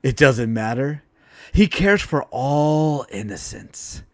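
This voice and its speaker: A man's voice: mid-range voice, lively intonation, takes it slowly, no room sound, clean studio sound, pitched above the performer's own voice. A man voicing a gloating, vengeful supervillain in a superhero story, mocking and boastful. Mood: menacing. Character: Voltage, gloating vengeful male lightning supervillain.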